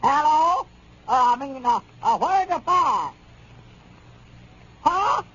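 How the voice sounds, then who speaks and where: An actor playing a touchy, flustered storekeeper with a rural Southern accent in a 1930s radio comedy. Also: male voice, very high-pitched, very animated, slow pace, pushed and bright, exaggerated voice. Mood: alarmed. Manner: folksy, flustered, grumbling. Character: touchy, aggrieved small-town male storekeeper in rural Arkansas. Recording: noisy, muffled 1930s radio recording.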